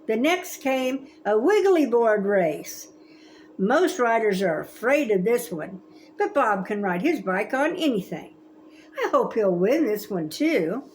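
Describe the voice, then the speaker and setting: A woman in her seventies reading a children's story aloud in a gentle, expressive, grandmotherly way. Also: mid-range voice, a little hiss or hum, low-quality recording. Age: 70s. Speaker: storybook reader.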